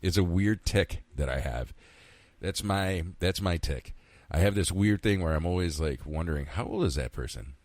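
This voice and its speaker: Low-voiced man in his fifties rambling. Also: lively intonation, moderate pace, a little mellow, no echo. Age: 50s. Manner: rambling, self-talking, frequent swearing. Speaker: podcast host. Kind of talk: casual solo podcast monologue.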